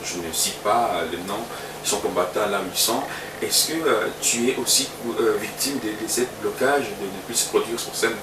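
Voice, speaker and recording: A man hosting a web TV interview, addressing viewers formally and filling pauses with hesitations. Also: fairly low pitch. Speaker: interview host. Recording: roomy sound from a distance with background noise.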